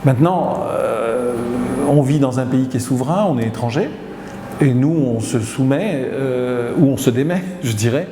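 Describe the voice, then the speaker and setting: A middle-aged man from Tunisia, a company CEO answering interview questions, who pauses often and hesitates mid-sentence. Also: medium pitch. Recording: echoey hall with noise behind.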